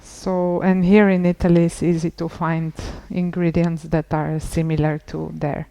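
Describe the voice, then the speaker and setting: A woman in her thirties from Bulgaria, speaking English as a podcast guest, unhurried, with frequent pauses and restarts. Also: fairly low pitch, level tone, dark tone, clear, resonant tone. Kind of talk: casual interview conversation.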